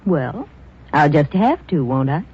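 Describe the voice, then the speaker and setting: A woman's voice: sits low, sing-song, unhurried, slight background noise. An actress playing a polite society woman in an old radio drama, talking in a lilting way. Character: genteel society woman, ex-wife posing. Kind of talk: 1940s radio acting. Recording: dull lo-fi old radio recording.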